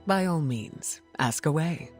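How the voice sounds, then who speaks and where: A woman playing a regal, gracious mutant heroine in a video game, speaking formally and with measured poise. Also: deep voice, animated, music in the background. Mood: warm, courteous. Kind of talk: video game cutscene acting.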